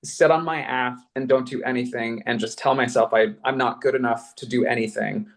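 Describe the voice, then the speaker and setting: A man's voice: mid-range voice, steady tone, a little fast, vocal fry. An American man from Colorado speaking reflectively on a panel, earnest and articulate, with rather elevated wording. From Colorado, United States. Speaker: podcast creator and artist.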